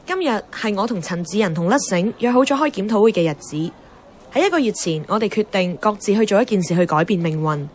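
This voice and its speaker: A woman's voice: mid-range voice, lively intonation, fast, a little hiss or hum, close to an everyday voice. An actress playing an outspoken young woman in a Hong Kong Cantonese radio drama, blunt and teasing, moving between dialogue and inner narration. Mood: reflective. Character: outspoken, headstrong young woman, a radio host chasing her dream. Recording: music under the voice.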